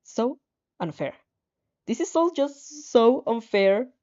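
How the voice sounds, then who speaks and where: A woman's voice: a little high, very animated, slow, a little mellow. Woman reading as frustrated, defiant teenage heroine. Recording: dull lo-fi sound.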